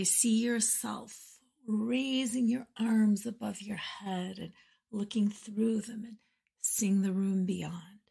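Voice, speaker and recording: A woman guides a meditation at a very unhurried pace, leaving space between short phrases. Her voice is soft and breathy with a husky grain, often settling into a gentle creak. The delivery is soothing and measured. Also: mid-range voice. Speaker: meditation guide and podcast host.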